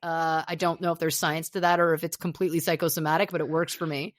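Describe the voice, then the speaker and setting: A woman's voice: low voice, level tone, quick pace, dry recording, clean studio sound. A middle-aged woman chatting as a podcast guest, telling self-deprecating stories in a loose, rambling way. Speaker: podcast guest, actor and musician. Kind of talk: casual interview chat.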